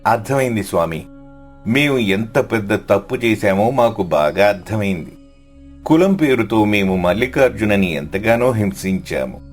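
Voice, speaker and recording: A man acts out a haughty village fisherman from a moral tale, a blunt bully. His voice is fairly low, with faint music behind. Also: expressive, normal speed, close to an everyday voice. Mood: repentant. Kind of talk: scripted story dialogue.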